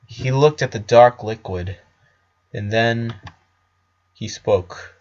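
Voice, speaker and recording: A man narrates a dramatic story at a slow, deliberate pace with a medium-pitched voice. The recording is dull and lo-fi. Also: a little mellow. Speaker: narrator reading fan fiction.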